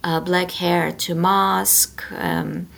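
Gravelly-voiced woman, slow and thoughtful. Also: fairly low pitch, fairly even intonation, fairly bright tone. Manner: thoughtful, hesitant, many fillers. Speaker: guest, author and translator. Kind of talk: interview conversation.

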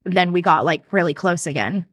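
A woman's voice: lowish voice, normal speed, dry recording, clean studio sound. A young woman from the San Diego area, a podcast guest chatting with a friend, saying "like" a lot and laughing often. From San Diego area, USA. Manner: chatty, says "like" a lot, giggly. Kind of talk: casual chat with a friend.

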